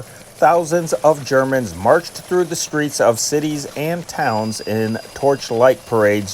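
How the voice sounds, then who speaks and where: A man reading scripted news dispatches in a formal, measured newsreader manner. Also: medium pitch, slight background noise. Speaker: narrator and channel host reading historic news dispatches.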